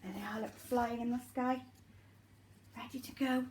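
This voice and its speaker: A woman's voice: fairly high pitch, normal speed, slight background noise. A woman reading a children's story expressively and dramatically, with asides straight to the young listeners. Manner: animated, dramatic, addresses children directly. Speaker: nursery teacher reading a story.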